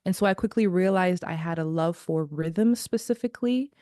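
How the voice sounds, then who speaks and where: A woman in her thirties from Zimbabwe speaks with a slightly low voice, recorded close and dry with no room around her. She tells her story as a guest jazz singer in a reflective, unhurried way. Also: warm tone, dry recording. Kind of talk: casual interview.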